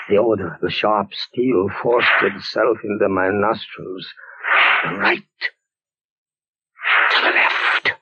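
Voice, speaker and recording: Old-time radio horror: anguished, condemned captain, slow, halting delivery, muffled vintage sound. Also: male voice, on the low side, breathy edge, exaggerated voice. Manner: theatrical, halting, anguished, swinging from hushed dread to frenzy. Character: condemned male captain, terrified, tormented prisoner in a dungeon. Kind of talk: 1940s radio horror acting.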